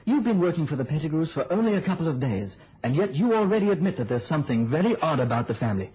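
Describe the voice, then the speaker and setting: Muffled old radio play: keen young reporter, breezy storyteller, very husky. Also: male voice, a little high, very animated, fast, full character voice. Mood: grave, relishing. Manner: chatty, storytelling, slightly theatrical. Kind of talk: mid-century radio drama acting.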